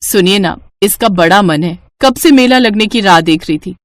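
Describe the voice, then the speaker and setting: A woman playing a practical, caring village mother, coaxing and homely, in a Hindi animated moral story. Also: medium pitch, moderate pace, dry recording, studio quality, natural voice.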